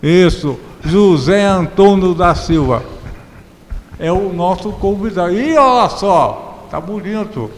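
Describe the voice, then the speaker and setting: A man with a Brazilian accent and a notably high voice hosts a conversational interview. He speaks slowly and playfully, with emphatic, drawn-out phrases. The sound is very reverberant, like a large hall. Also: lively intonation. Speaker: talk show host. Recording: reverberant hall, clear background noise.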